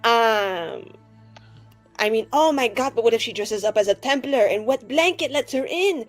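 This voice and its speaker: A woman casually roleplaying at a tabletop game, expressive and quick to joke. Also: a little high, faint music behind. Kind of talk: casual, joking in-character roleplay and table talk.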